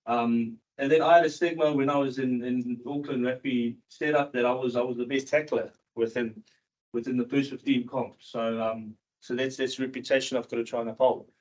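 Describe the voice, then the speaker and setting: A man from South Africa who moved to New Zealand in his teens, giving a candid, informal personal talk to an audience in a halting, repetitive way. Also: medium pitch, fairly even intonation. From South Africa, moved to New Zealand in his early teens. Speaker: seminar speaker, cricket coach and former professional cricketer. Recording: dull, muffled seminar recording.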